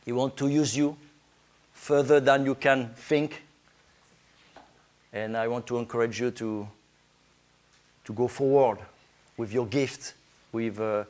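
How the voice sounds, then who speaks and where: A man with a French accent, a native French speaker, preaching informally in halting English as a guest preacher. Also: medium pitch. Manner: halting, searching for words in English. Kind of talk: informal sermon to a congregation.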